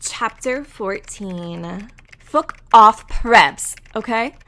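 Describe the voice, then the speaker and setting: A woman voicing a ditzy, angsty teenage goth girl writing author's notes, in a slow, airy, vapid delivery. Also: medium pitch, sharp tone, pitched above the performer's own voice, full character voice.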